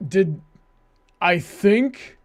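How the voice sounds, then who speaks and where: Slow-paced, higher-voiced man hosting. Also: animated. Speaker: livestream host. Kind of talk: casual livestream banter.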